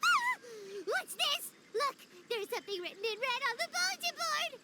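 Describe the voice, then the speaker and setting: A tiny fairy companion from a video game, squeaky and extremely high. The delivery swings wildly, childlike and easily spooked, with a bright edge. Faint noise sits behind the voice. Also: female voice, big pitch swings, normal speed, full character voice. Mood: puzzled, startled. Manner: squeaky, exclaiming, speaks of self in third person. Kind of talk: video game cutscene acting.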